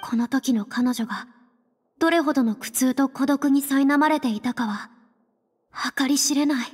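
A woman's voice: high voice, moderate pace, big-room sound, natural voice. A woman voicing a proud, theatrical young woman with self-assured phrasing in an anime game. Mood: solemn. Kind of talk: Japanese anime game voice acting.

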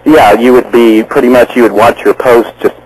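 A man calling in to a radio show, friendly and halting with many fillers. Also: medium pitch, steady tone, a little mellow, poor audio. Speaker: caller. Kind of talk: phone-in conversation.

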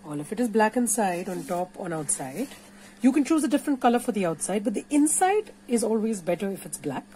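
A woman's voice: medium pitch, big pitch swings, a little fast, mellow, a little hiss or hum, poor audio. An Indian-accented woman giving an informal, playful and encouraging step-by-step craft tutorial. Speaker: puppeteer teaching a craft workshop. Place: indoors at a craft table.

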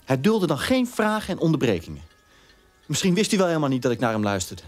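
An actor playing a reflective son in his twenties, speaking a measured monologue in a radio drama. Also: fairly high pitch, sing-song, soft background music. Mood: wistful. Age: 20s. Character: reflective 28-year-old son remembering his quiet father.

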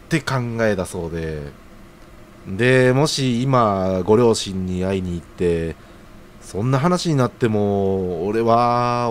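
Actor as an earnest, animated young man. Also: fairly low pitch, slight background noise. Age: young adult. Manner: casual, deferential junior's slangy speech. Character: earnest, hesitant young man unsure about marriage. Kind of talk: audio drama dialogue.

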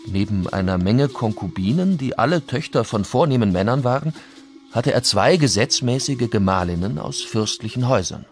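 A man voicing a Spanish soldier chronicler in a radio documentary, reading in a measured, descriptive way. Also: mid-range voice, animated, faint music behind, lightly acted. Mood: admiring, measured. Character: male Spanish soldier chronicler recalling an admired ruler. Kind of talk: read historical quotation in a radio documentary.

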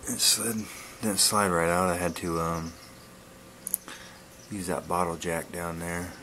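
A man giving a casual, laid-back vlog update in a halting, plain-spoken way, recorded on a handheld camera in a garage. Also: low voice, level tone, background noise. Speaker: wood-splitting video blogger. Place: garage, open to outdoors. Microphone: handheld camera's built-in mic.

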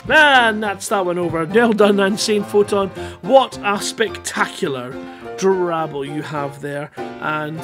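A man's voice: high-pitched, takes it slowly, music in the background. A middle-aged man hosting a live streamed show in a casual, jokey, rambling way, speaking into a headset. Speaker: host of a live streamed writing-competition show. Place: indoors at a computer, live stream.

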